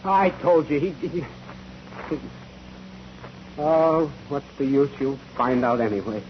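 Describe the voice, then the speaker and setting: An actor playing a nervous, evasive bookkeeper who stammers and repeats himself on the stand in a 1940s radio courtroom drama. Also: male voice, mid-range voice, animated, slow pace. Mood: defeated. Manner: stammering, repeating words, jittery. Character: nervous, evasive bookkeeper hiding a criminal past. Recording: muffled, noisy old 1940s radio recording.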